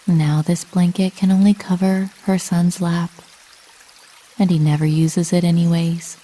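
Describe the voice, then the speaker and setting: A woman narrating a scripted sleep meditation like a bedtime story, calm and soothing, with measured delivery and long pauses. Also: on the low side.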